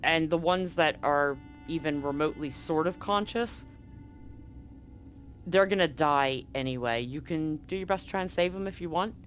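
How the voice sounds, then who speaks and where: A man's voice: fairly high pitch, fairly bright tone. A man running a tabletop horror game as game master, narrating loosely and correcting himself as he goes. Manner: loose, conversational, self-correcting.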